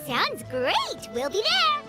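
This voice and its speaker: Squeaky, very animated tiny fairy. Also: female voice, takes it slowly, heavily acted. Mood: cheerful. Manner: speaks of self in third person, perky. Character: tiny, chirpy, excitable childlike fairy companion. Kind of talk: video game voice acting. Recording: loud music behind the voice.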